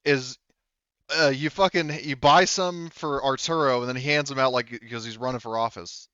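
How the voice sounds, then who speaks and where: A man's voice: mid-range voice, steady tone, moderate pace, pushed and bright, clean tone, no room sound, lo-fi sound. A man running a tabletop game as game master, narrating and chatting informally with joking, sweary asides. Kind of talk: casual game narration and chat.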